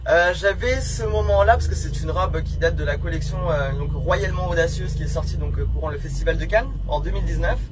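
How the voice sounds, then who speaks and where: A woman's voice: fairly low pitch, fairly quick, hardly any pauses, over music. A woman fashion designer being interviewed in a limousine, talking casually and hesitantly with many fillers and restarts. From France. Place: inside a limousine. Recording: loud car noise behind.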